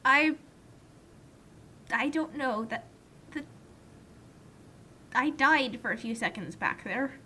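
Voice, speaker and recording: A woman's voice: animated, slow, pauses often, sharp tone. A young woman giving casual, exclamatory commentary, often stammering in disbelief, over a slightly noisy microphone. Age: young adult. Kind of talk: casual, reactive commentary chat. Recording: noisy microphone with low rumble.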